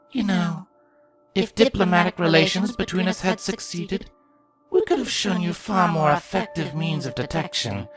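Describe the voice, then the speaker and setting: Man acting a vengeful grieving monster queen, storybook fantasy, theatrical menace, very animated pitch, slow pace. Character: spiteful, grieving changeling queen, vengeful hysterical mother.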